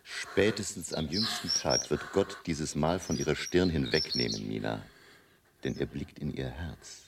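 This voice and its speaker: An actor playing a pious, protective elderly professor in a radio drama, gravelly and solemn like a priest pronouncing a blessing. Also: male voice, extremely low pitch, measured pace. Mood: solemn, soothing. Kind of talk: German radio drama acting. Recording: distant, noisy, birdsong behind.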